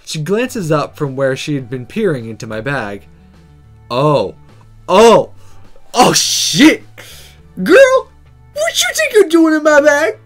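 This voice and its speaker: This is a casual let's player with a very lively, swooping delivery. They read a story game aloud and toss in their own animated reactions, with music playing behind. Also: medium pitch, slow pace, pauses often. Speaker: let's player reading a visual novel aloud. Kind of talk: casual gaming commentary and read-aloud narration.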